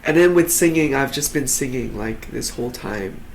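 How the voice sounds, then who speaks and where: A man's voice: medium pitch, moderate pace, slight background noise, low-quality recording. A young man from Hong Kong, a singer-songwriter, talking casually in a documentary interview with lots of "like" fillers. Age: young adult. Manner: casual, relaxed, heavy use of "like" fillers.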